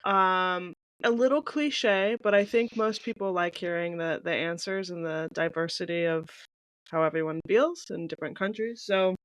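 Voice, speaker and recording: Clear, smooth woman podcast co-host. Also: lowish voice, no echo, rough recording. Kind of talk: casual interview questioning.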